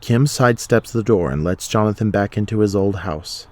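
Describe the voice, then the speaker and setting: A male storyteller reads scripted audio drama narration. His voice sits low, and the sound is dry with hardly any room in it. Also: moderate pace, darkish tone, dry recording.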